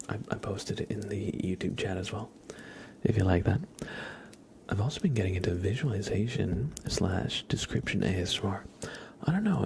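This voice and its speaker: A man whispering and speaking softly and breathily as an ASMR livestream host, gentle and halting, with frequent pauses. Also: a little hiss or hum. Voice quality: breathy whisper mixed with low soft voice. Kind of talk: whispered ASMR, part role play, part casual chat.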